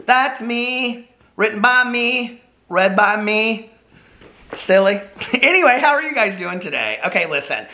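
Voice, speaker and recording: A man's voice: high-pitched, sing-song, a little slow, bright, forceful tone. A man with a chatty, playful, informal way of talking, casually vlogging and reading his own book aloud. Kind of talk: casual chat and storybook read-aloud.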